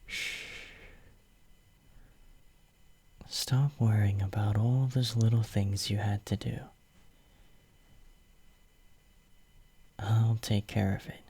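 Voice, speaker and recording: Slow, whispering devoted young hero played by a man. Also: fairly low pitch, flat delivery, dark tone. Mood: soothing. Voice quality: breathy, whispery. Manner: slow, tender, intimate murmuring. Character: devoted, possessive young male hero soothing his lover. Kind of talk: whispered romantic ASMR roleplay. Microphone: close microphone.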